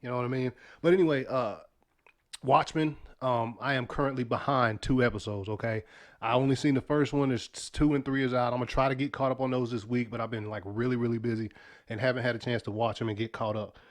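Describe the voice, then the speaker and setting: Man, young adult, podcast host, stuffy sniffly voice, casual slang, mid-pitched. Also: steady tone, fast. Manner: laid-back, loose slang, many fillers and restarts. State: stuffy, sniffly from sinus trouble. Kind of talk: casual weekly news chat.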